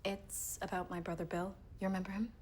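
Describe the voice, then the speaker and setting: A woman's voice: medium pitch, level tone, a little fast, background noise, close to an everyday voice. An actress playing a prim, scandalized church-going woman in a 1920s drama.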